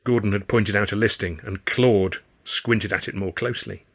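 A man reading a scripted first-person story as an audiobook narrator, in an expressive storytelling manner. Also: lowish voice, normal speed.

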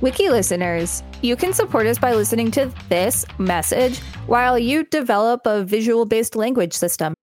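A woman's voice: fairly high pitch, animated, moderate pace, few pauses, a little mellow, soft background music. A woman co-hosting a podcast, reading an encyclopedia article aloud. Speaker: podcast co-host and reader.

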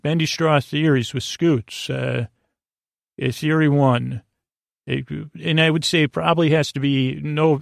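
Meandering, creaky-voiced man. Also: fairly high pitch, no echo, clean studio sound. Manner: meandering, halting, frequently trailing off and doubling back; whimsical, goofy. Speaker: sleep podcast host. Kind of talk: rambling bedtime monologue meant to lull listeners to sleep.

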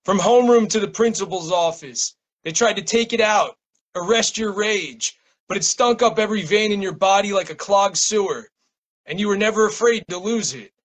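A man's voice: sits high, normal speed, rough recording. An American man with a laid-back, slangy way of talking, a guest poet chatting casually and reading his work. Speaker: guest poet and small press publisher. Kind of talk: casual interview chat and poetry reading.

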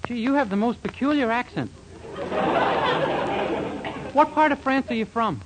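A man's voice: very high-pitched, lively intonation, unhurried, over a noisy crowd. Naive, boyish young American man. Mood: bashful, curious. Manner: guileless, earnest, boyish. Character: naive, bashful young man, earnest sitcom hero. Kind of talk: 1940s radio sitcom acting. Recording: hissy 1940s radio recording.

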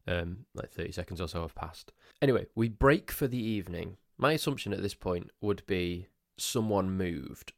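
A man's voice: sits low, dry recording, studio quality. A man hosting his own podcast, sounding groggy as if just woken up, telling a story in a rambling, chatty way with rhetorical questions and restarts. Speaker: podcast host. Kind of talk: casual solo podcast storytelling.

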